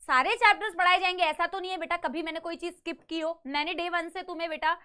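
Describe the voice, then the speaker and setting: A woman's voice: extremely high pitch, fairly even intonation, quick pace, pushed and bright. A young woman teaching a live online biology class with a slightly sore throat, who coaxes her students, calls them "beta" and mixes English phrases into her lecture.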